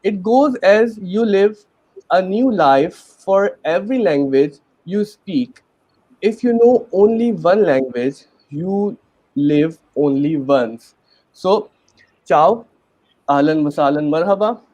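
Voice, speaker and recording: A man's voice: high voice, expressive, slow, darkish tone, no room sound, dull audio. A man with a Pakistani accent hosting a panel webinar in a chatty, earnest way, with many fillers. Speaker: webinar host.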